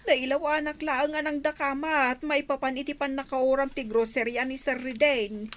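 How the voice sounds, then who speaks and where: A woman's voice: high-pitched, fairly even intonation, normal speed, lightly acted. A woman playing a frightened, grovelling housemaid who stammers and whines in a radio drama. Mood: fearful, wailing. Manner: stammering, whining. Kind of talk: melodramatic radio drama acting.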